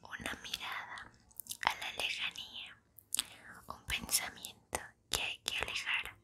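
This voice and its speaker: Young woman whispering slow, soothing ASMR. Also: rumbling low, monotone, bright, forceful tone. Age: 20s. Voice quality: breathy unvoiced whisper. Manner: slow, soothing, deliberate pauses. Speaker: ASMR host. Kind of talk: whispered ASMR poetry reading and chat. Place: small room at home, indoors. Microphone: large foam-covered microphone very close to mouth.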